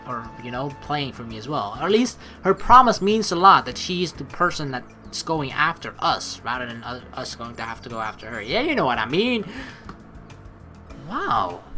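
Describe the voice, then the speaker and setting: Excitable bright-voiced woman, lively game commentary. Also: low-pitched, moderate pace, soft background music. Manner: excitable, chatty, playful asides. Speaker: let's play host. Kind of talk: game commentary mixed with reading story text aloud.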